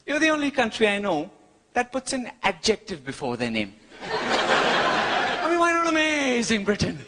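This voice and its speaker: A man from India with an Indian accent performing a cheeky, wry stand-up routine, pausing for his punchlines. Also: very high voice, very noisy. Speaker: stand-up comedian. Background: audience laughter.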